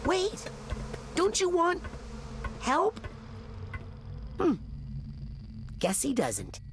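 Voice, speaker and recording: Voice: very high voice, wildly swooping pitch, drawn-out words, slight background noise, full character voice. An actor voicing an eager, earnest young boy hero in a cartoon video game. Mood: eager, reassuring.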